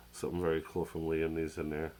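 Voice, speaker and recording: Nasal low-voiced man with a cold. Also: monotone, normal speed, very noisy. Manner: rambling, hesitant, many fillers and restarts. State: has a cold, sounds nasal. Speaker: product reviewer. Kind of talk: casual show-and-tell product review. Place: at a desk under an overhead camera.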